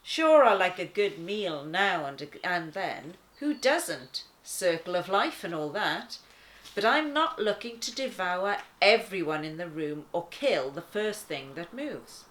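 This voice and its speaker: A woman reading a children's story as a laid-back talking lion, conversational and wry, with dramatic pauses. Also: lowish voice, sing-song, fairly bright tone. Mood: wry, relaxed. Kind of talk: first-person children's storybook narration.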